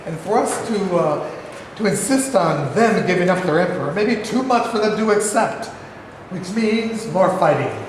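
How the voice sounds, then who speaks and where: A man's voice: high-pitched, animated, normal speed. A man acting a formal, careful senior adviser to a president in a staged play reading. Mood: careful, reasoning. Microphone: camera microphone. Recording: echoey hall, faint noise.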